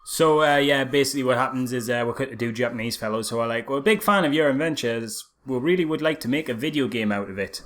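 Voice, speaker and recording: A man's voice: mid-range voice, fast, few pauses, a bit bright. Blunt, informal man on a film podcast. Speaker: podcast co-host. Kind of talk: casual film review chat.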